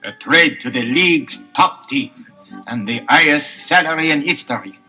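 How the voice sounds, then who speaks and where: An actor playing a ruthless, arrogant athlete villain with a rough voice in a melodramatic audio adventure. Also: male voice, on the high side, normal speed, sharp tone, poor audio. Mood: bitter, threatening. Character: ruthless sneering male hockey-star villain, bitter and arrogant. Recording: 1970s LP radio-play, faint music.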